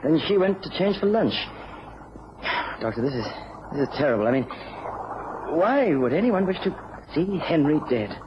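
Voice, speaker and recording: An actor playing an earnest young gentleman's secretary in a radio drama, speaking politely and a little hesitantly. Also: on the high side, sing-song, unhurried, long pauses. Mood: shaken. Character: earnest, bewildered young male yacht secretary. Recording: noisy, dull lo-fi radio recording.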